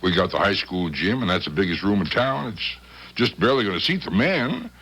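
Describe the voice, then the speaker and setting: Hesitant, affable small-town friend, low voice, very varied pitch, vintage radio drama. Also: male voice, animated, fairly bright tone, husky, exaggerated voice. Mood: folksy, affable. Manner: folksy, halting, apologetic. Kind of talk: 1970s radio drama acting. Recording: noisy 1970s off-air radio recording.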